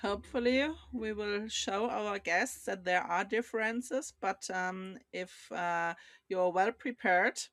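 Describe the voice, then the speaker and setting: A woman's voice: medium pitch, slow, fairly bright tone, dry recording. A woman co-hosting a business culture talk, speaking hesitantly with frequent fillers. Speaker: co-host. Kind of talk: conversational podcast discussion.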